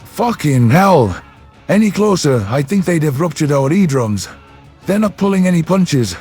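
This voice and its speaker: A man's voice: fairly high pitch, moderate pace, breathy edge, faint music behind, pitched above the performer's own voice. A man voicing a rattled, foul-mouthed human soldier, exclaiming huskily in a sci-fi audio story. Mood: shaken, exasperated. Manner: exclaiming, animated.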